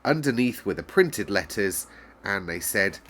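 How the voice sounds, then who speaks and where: A man reading a classic children's novel aloud in a calm, measured storyteller's way. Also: fairly low pitch.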